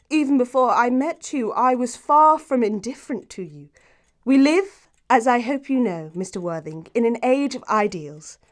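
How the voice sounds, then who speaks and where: Actress as a high-voiced, self-assured English society girl. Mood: ardent. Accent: English upper-class. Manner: poised, precise, grandly emphatic. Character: self-assured romantic young society lady, grandly certain. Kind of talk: stage comedy audiobook acting.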